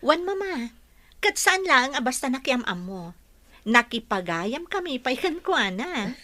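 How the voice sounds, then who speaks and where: A woman's voice: sits high, big pitch swings, a little slow, bright, forceful tone, heavily acted. A woman playing a kind, welcoming young nurse in an audio drama, speaking politely. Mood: agreeable. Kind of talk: Ilocano audio drama acting.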